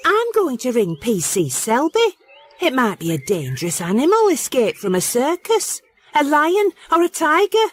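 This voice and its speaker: A man voicing a flustered middle-aged farm woman in a storybook reading, shrill and fretful. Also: huge pitch swings, normal speed, a bit bright, heavily acted. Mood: anxious.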